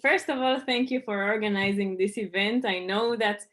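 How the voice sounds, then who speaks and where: A woman's voice: medium pitch, normal speed, fairly bright tone. A woman from Israel, an opera singer, speaking as a guest on a video call and thanking the host.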